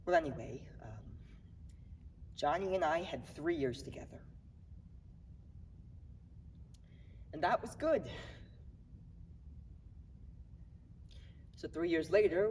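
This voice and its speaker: A man's voice: a little high, expressive, a little mellow, a little hiss or hum, lightly acted. Young man acting a grieving, defiant man, echoey hall. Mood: grieving, halting. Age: young adult. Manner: agitated, wry, halting, streetwise. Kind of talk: dramatic monologue at a speech contest. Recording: echoey hall, recorded from far off.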